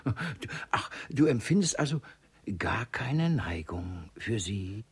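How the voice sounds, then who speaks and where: A man's voice: lowish voice, big pitch swings, slow pace, slightly rough, heavily acted. An actor playing a cunning, suspicious old miser and father in a classic comedy radio play, probing and repeating little words. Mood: feigned regret.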